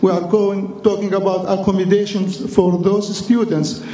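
A high-pitched man with a mellow, darkish tone speaks earnestly at a meeting's floor microphone, appealing to the council in slightly non-standard English. He is from the Middle East. The sound is roomy and heard from some distance in a big hall. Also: flat delivery, moderate pace. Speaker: chapter councilor. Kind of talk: public comment speech at a meeting. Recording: echoey hall, distant mic.

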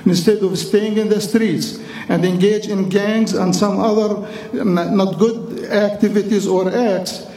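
A man's voice: sits high, steady tone, measured pace, mellow. A man from the Middle East, a chapter councilor, giving an earnest public comment at a floor microphone in a large meeting hall, in slightly non-standard English. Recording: echoey hall, distant mic.

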